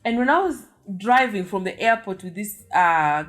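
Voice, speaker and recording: A Kenyan woman vlogger telling a story to her viewers in a lively, chatty way, with frequent exclamations. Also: medium pitch, normal speed, faint music behind.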